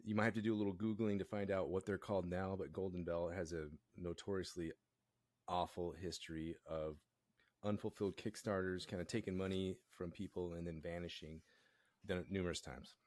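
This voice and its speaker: A man's voice: low-pitched, fairly even intonation, brisk, a little mellow, dry recording. A man co-hosting a podcast in casual discussion, with only a little voice left, as if he is losing it. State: limited voice, as if losing it. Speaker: podcast co-host.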